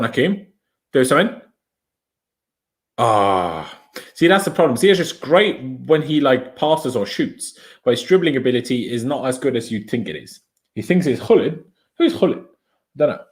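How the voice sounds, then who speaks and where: A man's voice: mid-range voice, big pitch swings, normal speed. Chatty Austrian man streaming a match. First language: German. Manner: chatty, opinionated, reads viewer comments aloud. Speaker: fan watchalong streamer. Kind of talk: casual live match commentary and chat reading.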